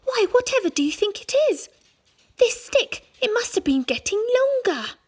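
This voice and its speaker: A woman voicing a frightened old woman who pleads in a shrill, panicky voice. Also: big pitch swings, normal speed. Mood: indignant. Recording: echoey room.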